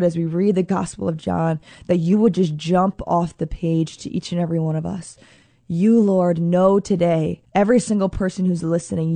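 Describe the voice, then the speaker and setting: A woman hosting a faith radio show, earnest and upbeat, with a slightly scratchy, hoarse voice. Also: lowish voice, dark tone, no echo. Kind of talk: prayerful scripture talk.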